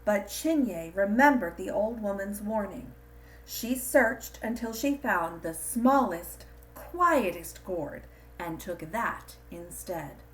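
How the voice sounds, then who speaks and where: Slow-paced woman reading a children's story. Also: medium pitch, low-quality recording. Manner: expressive, deliberate storytelling. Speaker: storybook reader. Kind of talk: scripted storybook narration for children.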